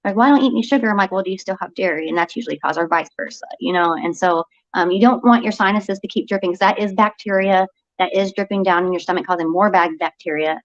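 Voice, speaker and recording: A woman speaking as a health coach guest, animated and often restarting her sentences. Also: mid-range voice, fast talker, hardly any pauses, dull audio. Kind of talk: casual health talk show chat.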